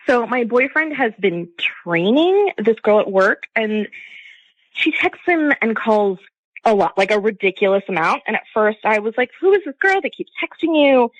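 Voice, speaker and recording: A woman caller with a husky voice, telling a personal story in a casual, halting, confiding way. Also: mid-range voice, fairly bright tone, no room sound, poor audio. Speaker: caller to a radio show.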